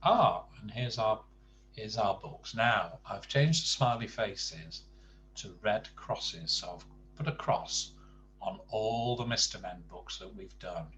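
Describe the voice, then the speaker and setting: An older man reading a bedtime story to grandchildren, expressive, with chatty asides and questions to the young listener. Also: on the low side, takes it slowly, muffled recording, a little hiss or hum.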